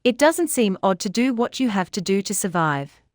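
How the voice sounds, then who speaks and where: A woman's voice: mid-range voice, sing-song, normal speed, no room sound, clean studio sound. A woman co-hosting a children's storytime, speaking in a scripted discussion.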